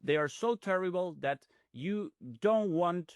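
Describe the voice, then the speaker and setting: Slow, halting man, native Spanish speaker. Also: sits high. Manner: halting, with hesitations. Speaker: interview guest. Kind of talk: spontaneous political commentary in English.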